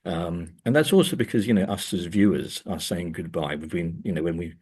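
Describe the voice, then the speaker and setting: A man speaking as an academic interview guest, discussing books and films in a hesitant, thoughtful, halting way. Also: deep voice, darkish tone, breathy edge. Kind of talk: conversational literary and film discussion.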